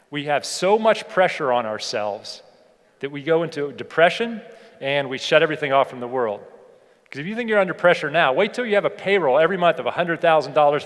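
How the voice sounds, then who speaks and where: American keynote speaker, higher-pitched man in echoey hall. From United States (Pittsburgh). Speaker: keynote speaker, leadership educator. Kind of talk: motivational keynote speech with personal anecdotes. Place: large indoor hall, speaking to an audience. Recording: echoey hall with long reverb.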